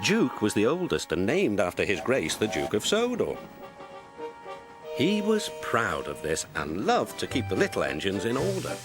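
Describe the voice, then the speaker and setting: A man's voice: lowish voice, huge pitch swings, moderate pace. A man narrating a scripted children's story as a storyteller. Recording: music behind the voice.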